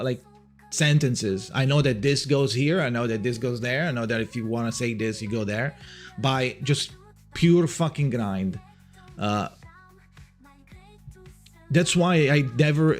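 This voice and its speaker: A young man with an Italian accent chats on a livestream in a middle-range voice, with soft music playing faintly under him. He rambles and restarts often, swearing casually as he goes. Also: medium pitch. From Italy. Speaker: streamer.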